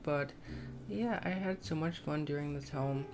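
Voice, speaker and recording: A woman's voice: low-pitched, unhurried. A young woman with a slightly scratchy voice giving casual, tentative, rambling voiceover commentary on a game build. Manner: rambling, self-conscious, tentative. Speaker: gaming video creator. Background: soft plucked guitar music.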